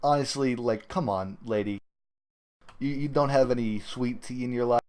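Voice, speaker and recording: A man hosting a casual gaming podcast, talking in a halting, rambling way. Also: mid-range voice, darkish tone. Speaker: podcast host. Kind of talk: casual gaming talk show commentary.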